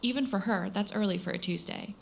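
Woman reporter, scripted narration, gravelly fry in the voice, faint ambience behind. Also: mid-range voice, a little hiss or hum. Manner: measured storytelling delivery. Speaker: radio reporter.

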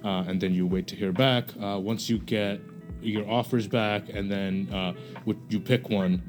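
A man's voice: lowish voice, steady tone, unhurried, music in the background. A young man from Bangladesh, a podcast guest, explaining things casually with many hesitations and fillers.

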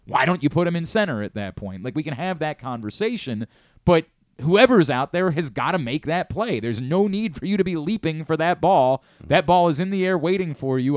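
A man's voice: on the high side, no room sound. A man hosting sports talk radio in a studio, giving his opinions with emphasis.

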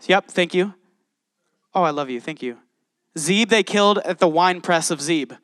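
A man with a high voice preaches a sermon in a church. He reads scripture aloud and turns to the congregation with passion. The sound is close and dry, with no room echo. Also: moderate pace, fairly bright tone. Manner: passionate, addresses congregation directly. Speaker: pastor. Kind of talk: sermon with Bible reading.

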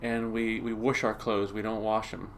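Flat-voiced western Pennsylvania man. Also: lowish voice, normal speed, slight background noise. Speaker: science teacher. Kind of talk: casual personal account.

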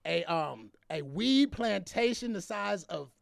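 High-voiced, gravelly man chatting excitably. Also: slow. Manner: slangy, excitable, repeats himself. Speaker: podcast host. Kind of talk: casual chat.

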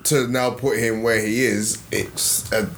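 A man in a casual podcast chat, speaking in a measured, thoughtful way and building up long points. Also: lowish voice, steady tone, fairly bright tone, slight background noise. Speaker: podcast co-host.

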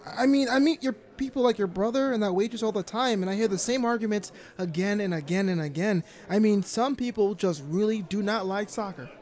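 Guy acting a mild curious young dude, gentle, pretty high voice, audio drama. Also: fairly quick, few pauses, close to an everyday voice. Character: mild, curious young man, sympathetic friend.

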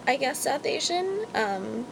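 A woman with a gravelly, fry-edged voice talks frankly and casually, laughing now and then as she co-hosts a podcast. She was born in Pakistan. The recording is noisy, with a low rumble underneath. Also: very animated, takes it slowly. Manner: candid, chatty, laughs while talking. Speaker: podcast co-host. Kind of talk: casual conversation.

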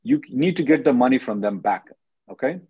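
A man's voice: mid-range voice, lively intonation, a little fast, a little mellow, slightly rough, no room sound, dull audio. A man hosting a call-in as an attorney, advising callers in a blunt, directive way and often checking with "okay?".

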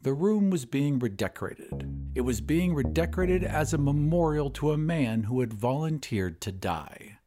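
Male podcast narrator, scripted storytelling, animated intonation, soft music behind. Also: medium pitch, normal speed, few pauses. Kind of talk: scripted audiobook-style narration.

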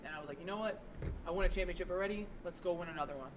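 A young adult woman chatting casually as a podcast guest, telling stories in an animated, quick way. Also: lowish voice, background noise. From New York. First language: Italian. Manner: animated storyteller, quick asides.

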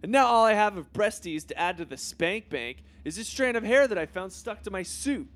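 A man's voice: high-pitched, expressive, moderate pace, a bit bright. A man voicing a crude, petulant teenage boy superhero in an adult cartoon parody. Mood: boastful.

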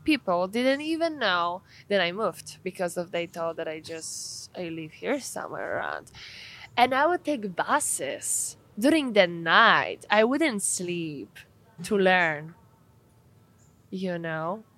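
A woman's voice: fairly low pitch, big pitch swings, slow, a bit bright. A woman from Poland, an athlete and trainer answering interview questions earnestly, restarting sentences as she searches for words.

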